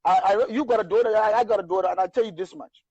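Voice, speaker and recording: A man's voice: high voice, quick pace, darkish tone, breathy edge, no room sound, lo-fi sound. A middle-aged Zimbabwean man in casual political discussion, emphatic, with stammering restarts. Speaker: show host.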